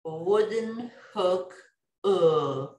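Very slow Bosnian-speaking woman learner, echoey room. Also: on the low side, expressive, a little mellow. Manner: careful, hesitant repetition. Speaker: learner repeating drills. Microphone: webcam on a video call. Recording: echoey room on a video call.

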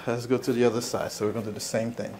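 A man's voice: medium pitch, level tone, racing, vocal fry, darkish tone, slight background noise. A man working as a chiropractor, giving casual, reassuring hands-on instructions in short repeated prompts. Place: small indoor chiropractic treatment room.

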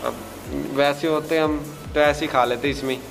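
A man's voice: fairly high pitch, fairly even intonation, moderate pace, over music, poor audio. Chatty man vlogging food. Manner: chatty, teasing, mixes a little English. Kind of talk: casual food vlog chat. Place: small restaurant, indoors.

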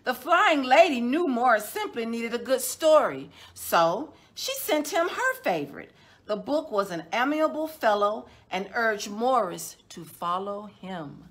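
A middle-aged woman, a children's storytime host, reading a picture book aloud in a measured, deliberate way with drawn-out words. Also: a little high, very animated. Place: indoors, small decorated room.

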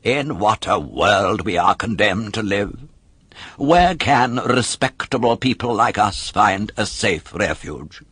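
A man voicing a sly fox swindler from a storybook, with a drawn-out, unctuous, theatrical manner. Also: lowish voice, expressive, a bit bright, slightly rough, dry recording, heavily acted. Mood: mock sympathy. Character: sly fox swindler feigning sympathy and virtue.

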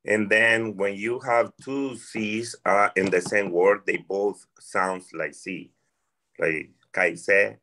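A man in his forties from Colombia, Spanish his first language, speaking English with a Colombian accent in an interview, slow and careful as he searches for words. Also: on the low side, fairly even intonation, bright, forceful tone. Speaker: restaurant worker guest. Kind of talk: interview conversation.

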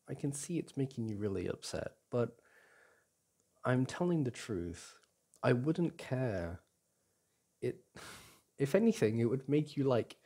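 A young man playing a caring, reassuring boyfriend in an intimate ASMR roleplay, speaking gently and haltingly with sighs and restarts. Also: mid-range voice. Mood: tender, reassuring. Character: caring, reassuring boyfriend comforting his upset partner. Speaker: audio roleplay performer.

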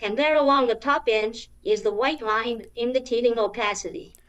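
Female narrator, slightly high voice, scripted tutorial read. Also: normal speed, fairly bright tone. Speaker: AI voice changer avatar.

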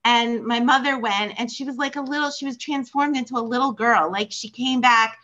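A woman's voice: fairly high pitch, a little fast, dull audio. An older American woman with a Philadelphia accent, chatting on a video call from her home in a rambling way, full of fillers and restarts. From United States. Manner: rambling, warm storytelling with many fillers and restarts. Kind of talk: casual chat. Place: home room with bookshelves.

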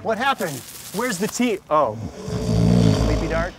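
An actor in his forties playing a bumbling, excitable park ranger in a children's live-action show, recorded outdoors. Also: a little slow, full character voice. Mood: cheerful. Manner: goofy, excitable, lively pitch. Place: outdoors in dry woodland. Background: music.

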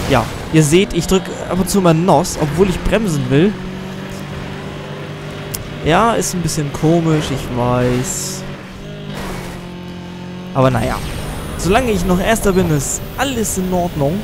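Rambling teen gamer with a slight cold. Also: male voice, a little high, animated, slow pace, pauses often. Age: teens. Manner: rambling, chatty, self-deprecating. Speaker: let's play gamer. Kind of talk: casual gameplay commentary. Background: game music and car sounds.

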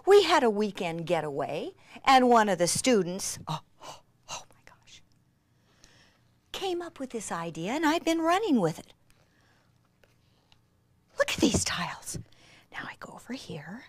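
A woman giving an enthusiastic hands-on craft demonstration, gushing and excitable, with breathy gasps and drops into near-whispers. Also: medium pitch, no room sound. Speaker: craft instructor.

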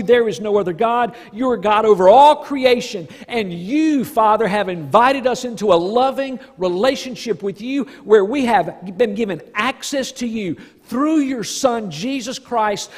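Man, fifties, high-pitched voice, emphatic sermon delivery, deliberate phrasing, long church reverb. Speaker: pastor preaching. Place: large church sanctuary stage, indoors.

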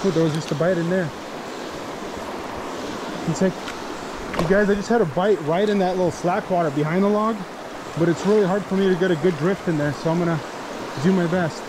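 A young man casually narrating his fly fishing trip outdoors, talking eagerly to his viewers. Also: high-pitched. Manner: enthusiastic, talks to viewers as "you guys". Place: outdoors by a forest creek. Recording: outdoor recording with loud stream noise. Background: rushing stream water.

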